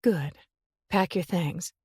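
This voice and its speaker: A woman voicing an older mother who comforts her daughter in a soft, soothing way. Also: lowish voice, slow pace, slightly rough, no echo, clean studio sound, exaggerated voice. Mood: tender.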